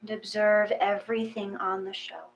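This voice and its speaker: A woman reading a gentle guided meditation in a calm, measured manner, with long pauses between short phrases. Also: medium pitch, creaky, poor audio.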